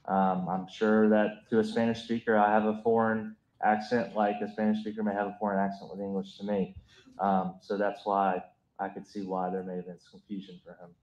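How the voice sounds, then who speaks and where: A man, a police officer, testifying on the witness stand, answering in a measured, polite way and often saying "yes, ma'am". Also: lowish voice, flat delivery, a little mellow, dull audio. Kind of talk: courtroom testimony. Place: courtroom. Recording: echoey courtroom audio.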